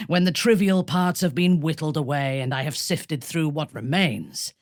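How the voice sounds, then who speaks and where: An actress playing a ruthless, haughty drow noblewoman in a video game, with a husky voice and formal, imperious, menacing delivery. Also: sits low, normal speed, dry recording, studio quality. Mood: cold, commanding. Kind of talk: video game voice acting, dramatic character lines.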